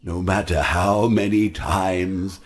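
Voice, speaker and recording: Game villain: possessive animatronic showman, raspy, slow, theatrical and menacing. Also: sits low, fairly even intonation. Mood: dark, resolute. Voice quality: husky, rasping.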